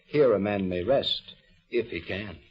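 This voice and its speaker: A man with an American accent hosting and narrating a scripted mid-century radio program in a measured, literary storytelling manner. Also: on the low side, husky. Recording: muffled old radio recording.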